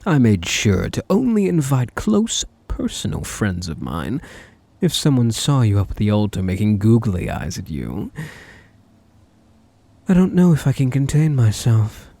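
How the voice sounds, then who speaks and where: A man acts out a possessive stalker groom for an ASMR roleplay. His voice is breathy and dark, swooping through sweet endearments that carry quiet menace. It is recorded close and dry. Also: fairly low pitch, big pitch swings, a little slow, no room sound, exaggerated voice. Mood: jealous, menacing. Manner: doting endearments laced with menace. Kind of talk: ASMR roleplay audio drama, addressing the listener.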